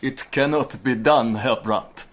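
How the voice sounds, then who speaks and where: A man's voice: mid-range voice, takes it slowly, close to an everyday voice. A fussy, cautious German official, played by an actor, speaks in a prim, reproving way from a mid-range voice. He sounds like a bureaucrat in a radio play.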